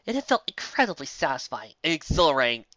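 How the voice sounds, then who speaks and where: A man's voice: a little high, sing-song, fast talker, bright, forceful tone, no echo, highs cut off. A man reading a fantasy story aloud in a theatrical, dramatic way. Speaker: narrator, reader. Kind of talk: expressive amateur fan fiction reading.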